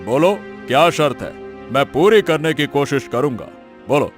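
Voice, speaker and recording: A man's voice: fairly high pitch, lively intonation, moderate pace, close to an everyday voice. A man playing a blustery, fair-minded village big man in a cartoon story, emphatic and given to repeating himself. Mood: challenging. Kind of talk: scripted cartoon story acting. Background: music.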